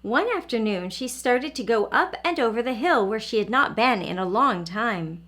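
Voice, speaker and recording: Female early learning teacher, picture book read-aloud, slightly high pitch, expressive, speaks directly to children. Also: moderate pace. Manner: expressive, asks questions directly to young listeners.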